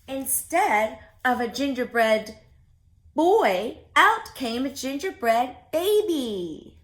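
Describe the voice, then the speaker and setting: A woman reading a picture book aloud for preschoolers, expressive and speaking directly to her young listeners. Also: high-pitched, takes it slowly. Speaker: children's story reader.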